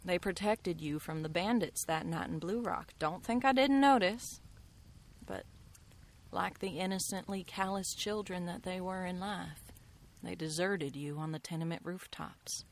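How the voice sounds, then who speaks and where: Mysterious skeptical mystic lady in a radio play, lowish pitch, slow-weighed fancy phrasing, very knowing. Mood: coolly probing. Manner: deliberate, eloquent, theatrical phrasing. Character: enigmatic worldly woman mystic, coolly knowing and skeptical. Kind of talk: radio drama acting.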